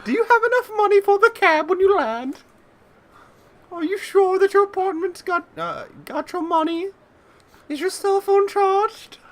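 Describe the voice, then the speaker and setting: A man voicing a fretting mother in shrill falsetto, firing anxious questions in a comic game read-aloud. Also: measured pace, fairly bright tone, heavily acted. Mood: anxious nagging. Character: fretting, nagging mother seeing off her grown child.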